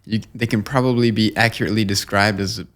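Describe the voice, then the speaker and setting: A young man from Long Island, guest on an interview show, talking in a measured, earnest way with fillers and restarts. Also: lowish voice, steady tone, fairly bright tone. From Long Island, New York. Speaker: guest, nonprofit co-founder. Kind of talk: conversational interview.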